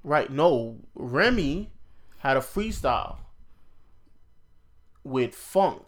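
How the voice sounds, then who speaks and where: A man's voice: mid-range voice, animated, very slow. A man co-hosting a podcast, interrupting and teasing his partner in crude, casual banter. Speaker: podcast co-host. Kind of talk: casual chat.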